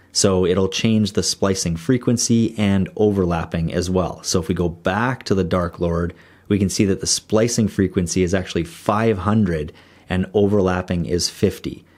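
A man explaining audio editing software in a steady screen-recorded tutorial voice-over. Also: deep voice, normal speed.

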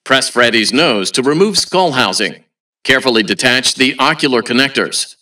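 Bright, blandly cheerful robot announcer. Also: male voice, medium pitch, lively intonation, moderate pace, far from the mic. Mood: instructive, upbeat. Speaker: automated announcer. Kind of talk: scripted video game announcements.

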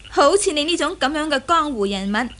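A woman's voice: sits high, animated, unhurried, a bit bright. A woman playing a sly, commanding young woman in a Hong Kong Cantonese radio drama, imperious, teasing and playfully scornful. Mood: sly, amused. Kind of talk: 1980s radio drama acting.

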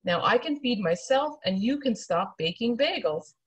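Poor storybook man, read by a woman: humble and thankful, breathy, with expressive pitch. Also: moderate pace. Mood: relieved, solemn.

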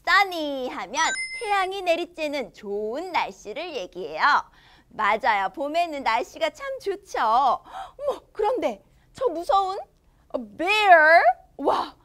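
A woman in her thirties teaching young children English from a storybook in a studio. She reads the English lines slowly and with exaggerated care, then explains them cheerfully in Korean. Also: sits high, very animated, a bit bright. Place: studio in front of a green screen.

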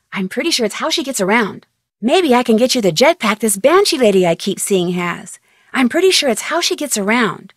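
Casting: inquisitive young female amateur detective, game phone dialogue, higher-pitched voice, conversational and plucky, dry studio sound. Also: dry recording. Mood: excited.

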